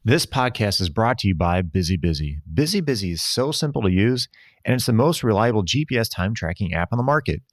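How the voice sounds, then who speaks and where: A man's voice: lowish voice, big pitch swings, a little fast, no room sound, clean studio sound. A man reading a scripted sponsor advert for a podcast. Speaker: sponsor ad reader. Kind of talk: scripted ad read.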